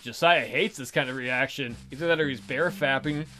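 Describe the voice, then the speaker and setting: A man's voice: medium pitch, moderate pace, sharp tone. A man hosting a let's play, reading game text aloud expressively and adding casual jokey commentary.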